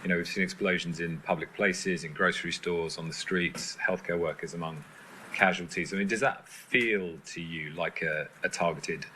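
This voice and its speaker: Deep voiced guy reporter at a press briefing, pressing with questions, kinda halting, bit of background noise. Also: steady tone, pushed and bright. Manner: halting, persistent follow-up questions. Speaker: reporter questioning a government spokesman. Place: indoor press briefing room. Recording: clear background noise, room ambience.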